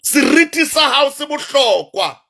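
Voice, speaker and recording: A South African man with a Sesotho-influenced accent, a traditional healer addressing his online followers in a slow, emphatic, repetitive, preacher-like way. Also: very high voice, big pitch swings, creaky, pushed and bright. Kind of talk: livestream address to viewers.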